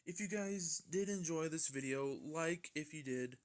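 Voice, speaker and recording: A man's voice: fairly high pitch, measured pace. A man reading fan fiction aloud as an amateur narrator, stumbling now and then and rereading his words.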